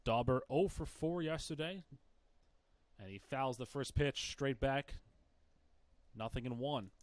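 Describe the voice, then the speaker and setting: A man's voice: medium pitch, measured pace, no echo. A man calling a baseball game live as play-by-play commentator.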